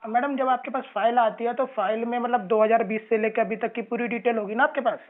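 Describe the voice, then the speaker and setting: A man's voice: very high-pitched, fairly even intonation, fast. A man in the role of a borrower on a phone call, talking in an aggrieved, persistent way and asking to be heard out. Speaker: borrower on a loan settlement call. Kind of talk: phone conversation. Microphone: telephone line. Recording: narrowband phone call recording.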